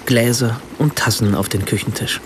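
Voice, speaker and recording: An actor as an observant writer, narrating in the first person for a radio play with precise, understated literary phrasing. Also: male voice, medium pitch, normal speed, a little hiss or hum, lightly acted. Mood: composed, observant. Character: observant German writer, husband and father, narrating a visit.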